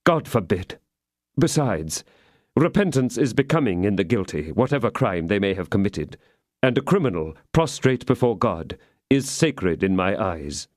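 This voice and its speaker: A man voicing a grave, upright young soldier who speaks in a measured, serious way. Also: lowish voice, lively intonation, darkish tone, no echo, clean studio sound, lightly acted.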